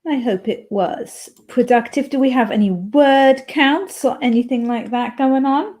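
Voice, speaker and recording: A woman's voice: sits high, measured pace, a little mellow. A woman hosting a writing livestream, chatting casually and playfully as she reads chat messages aloud.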